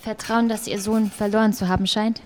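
An actress playing a composed, probing reporter who narrates a documentary-style radio play. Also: female voice, mid-range voice, level tone, a little fast, slight background noise, close to an everyday voice.

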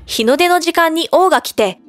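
A woman narrating a bedtime fairy tale in an expressive storytelling voice. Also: extremely high pitch, moderate pace. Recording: background music.

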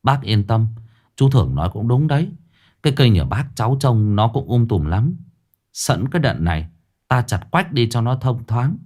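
A man's voice: mid-range voice, sing-song, moderate pace, a little mellow. A man with a Northern Vietnamese accent voicing a young village lad who speaks soothingly and coaxes an older man. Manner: soothing, coaxing.

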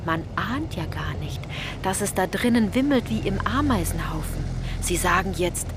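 A woman's voice: fairly low pitch, lively intonation, moderate pace, close to an everyday voice. An actress playing a sardonic female tour guide in a German radio play, addressing listeners directly with theatrical irony. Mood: pointed, dry. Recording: music under the voice. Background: music.

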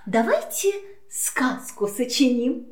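A woman's voice: high voice, very animated, slow pace, echoey room, heavily acted. A woman voicing an elegant, gracious cat hostess in a children's audio play, genteel and cooing. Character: elegant, gracious female cat, lady of the house.

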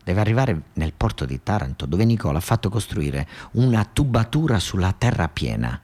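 Male monologue storyteller, low-pitched, southern Italian accent, colloquial, halting and repetitive radio-theatre delivery. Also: sing-song, a little mellow. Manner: conversational, repeats phrases for emphasis, halting restarts.

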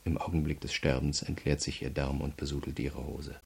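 Radio crime drama: relentless prosecutor, deep creaky voice, measured and coldly courteous, never letting the prisoner off. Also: male voice, little pitch movement, a little mellow, slight background noise. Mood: cold, menacing. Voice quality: gravelly. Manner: measured, methodical, coldly polite. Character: cool, relentless male state prosecutor pressing a prisoner.

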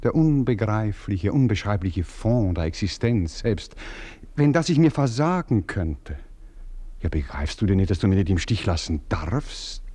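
A man's voice: fairly low pitch, big pitch swings, unhurried, warm tone. An actor playing a self-absorbed nobleman in a radio play, coaxing and self-pitying in manner. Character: self-absorbed male nobleman, pleading and evasive. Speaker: baron.

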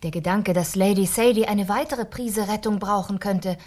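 A woman's voice: medium pitch, fairly even intonation, moderate pace, a little mellow, lightly acted. A woman narrator telling a fairy tale in scripted radio play style.

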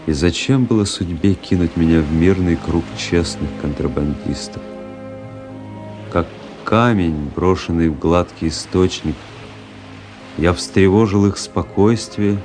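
A low-pitched male army officer tells his story in a radio play, measured and reflective. He is wry and melancholy, a literary narrator with music playing behind him. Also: a little mellow.

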